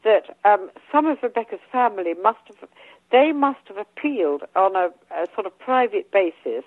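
A woman's voice: medium pitch, lively intonation, moderate pace, no room sound. An older English woman talking as a guest author, hesitant with many ums and restarts, and losing her voice. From England. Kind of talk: casual interview talk. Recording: tinny phone line.

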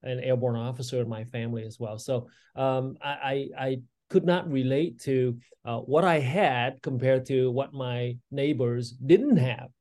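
A man's voice: mid-range voice, fairly even intonation, unhurried, hardly any pauses, a little mellow. A man in his sixties, born in Vietnam, speaking earnestly as a podcast guest, with frequent fillers and restarts. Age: 60s. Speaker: guest, state legislator. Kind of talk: casual interview talk.

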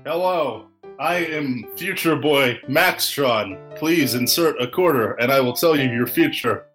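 A man voicing a formal, ominous fortune-telling arcade robot in a podcast skit, slow and stilted. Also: mid-range voice, over music, lightly acted.

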